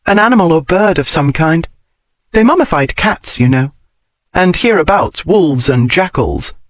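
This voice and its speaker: A man's voice: a little high, animated, measured pace, no echo, voice pushed up. A man voicing a bold, curious scholarly woman in an audiobook, with precise, bookish and insistent phrasing. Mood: curious, absorbed. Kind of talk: audiobook character dialogue.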